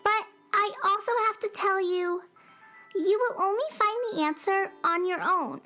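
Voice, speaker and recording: Tiny, very high-voiced space fairy. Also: female voice, measured pace, bright, forceful tone, faint music behind, exaggerated voice. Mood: oracular. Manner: cute, cheerful, matter-of-fact. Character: tiny sweet-toothed female space fairy oracle. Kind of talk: children's radio drama acting.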